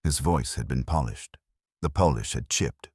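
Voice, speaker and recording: Man, very deep voice, lively intonation, wry detective-story narration, measured delivery, dry close sound. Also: breathy edge, no echo. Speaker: audiobook narrator. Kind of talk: scripted first-person detective story narration.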